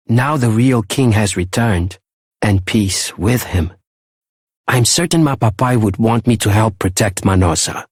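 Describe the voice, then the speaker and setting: An actor playing an earnest, gentle villager with a Portuguese accent in a video game, sincere, polite and husky. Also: male voice, fairly low pitch, no room sound, clean studio sound. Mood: grieving. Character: earnest, gentle villager, devoted child of a lost father. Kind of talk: video game character acting.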